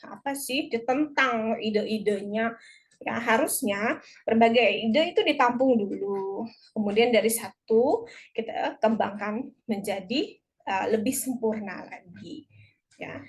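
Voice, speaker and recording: A woman with an Indonesian accent giving an explanatory lecture in a conversational, encouraging teacher's manner, slipping into short example quotes. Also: on the high side. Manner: didactic, encouraging, conversational, often tagging points with "ya". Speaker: lecturer in language teacher education.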